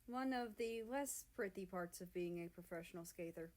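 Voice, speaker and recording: A woman voicing a tall, gentle, devoted man with a soft, smooth and slightly formal manner in a story reading. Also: lowish voice, normal speed, natural voice. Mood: gentle, composed.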